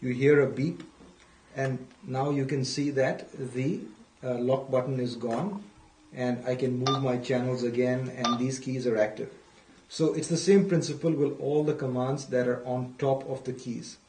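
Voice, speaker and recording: A man's voice: mid-range voice, flat delivery, measured pace, noisy background. A man presenting a product demonstration, explaining step by step in a methodical, instructional way and repeating key points. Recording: roomy echo, distant microphone.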